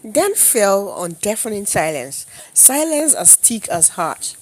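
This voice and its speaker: A woman with a Nigerian accent tells a folktale in dramatic style. Her pitch moves widely and expressively. She phrases things deliberately, with a solemn, theatrical air. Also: very animated, low-quality recording. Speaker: storyteller.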